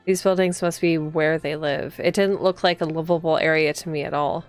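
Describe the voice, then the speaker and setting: A woman's voice: sits low, steady tone, moderate pace, clear, resonant tone, faint music behind, natural voice. Woman reading an earnest, uneasy police officer. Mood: somber. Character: earnest, uneasy temple police officer narrating visual novel.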